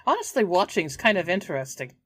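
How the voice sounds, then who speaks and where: Low-voiced woman chatting as a game player. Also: very animated, fairly quick, a bit bright, no echo, clean studio sound. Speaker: player in a tabletop roleplaying game. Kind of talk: casual chat.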